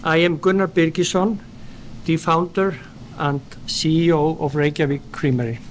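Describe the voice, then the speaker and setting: Middle-aged icelandic guy reading his speech off paper, slightly high voice, faint room hum behind. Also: fairly even intonation. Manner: formal, measured delivery read from paper. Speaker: company founder giving a speech. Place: indoor event at a dairy plant.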